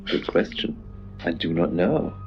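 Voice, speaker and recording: Through a dull radio sound with music behind, a male late-night host with a very low voice. He is an eccentric shopkeeper of curios, deadpan and macabre, halting between phrases. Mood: deadpan, eerie. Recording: band-limited radio sound over music.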